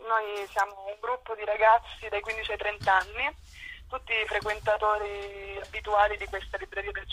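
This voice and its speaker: A woman's voice: lowish voice, pushed and bright. A young woman speaking as an interview guest over a telephone connection, explaining things informally. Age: young adult. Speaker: youth group spokesperson, interview guest. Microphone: phone call. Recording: muffled, rough telephone line.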